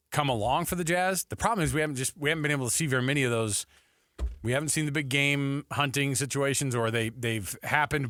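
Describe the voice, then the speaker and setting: Male talk-radio sports host, conversational, bright and punchy, dry studio sound. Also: mid-range voice, dry recording.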